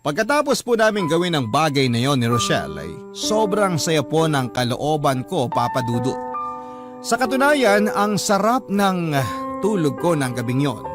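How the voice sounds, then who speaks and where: Radio drama narrator: confessional lovesick man, slightly high pitch, earnest scripted delivery over a clear music bed. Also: sing-song, moderate pace. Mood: contented, confessional. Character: lovelorn, confessional man narrating his letter of forbidden love.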